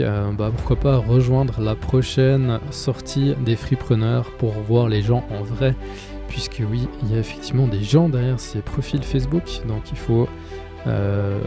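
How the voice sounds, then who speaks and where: A man's voice: mid-range voice, vocal fry. A man hosting a podcast, giving an informal outro with lots of hesitations, recorded close and dry with faint music underneath. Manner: informal, hesitant with frequent fillers. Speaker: podcast host.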